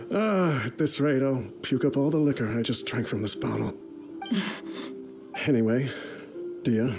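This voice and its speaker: A man's voice: mid-range voice, close to an everyday voice. An actor playing an ailing older mercenary father in a video game, boastful and affectionate, rambling through a letter in voice-over. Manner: rambling, chuckling, jovial.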